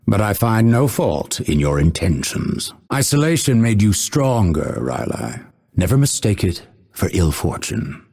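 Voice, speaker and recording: An actor playing a proud, cold, vengeful assassin in a video game, with a husky voice and measured, haughty, menacing delivery. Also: male voice, sits low, darkish tone, exaggerated voice. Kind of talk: scripted game voice lines, theatrical delivery.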